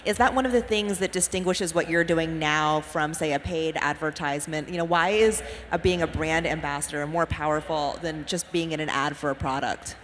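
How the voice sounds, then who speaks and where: A woman's voice: low-pitched, moderate pace, few pauses, fairly bright tone, a little hiss or hum. Upbeat woman moderator on a big conference stage, echoing hall. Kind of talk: panel moderation. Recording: hall reverb, faint ambience.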